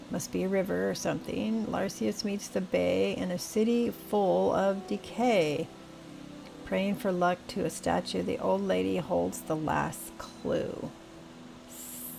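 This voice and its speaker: Gravelly older woman musing slowly. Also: medium pitch, low-quality recording. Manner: thinking aloud, slow and musing. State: scratchy voice. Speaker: gaming channel host. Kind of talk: casual gameplay commentary. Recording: steady rain noise behind. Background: rain.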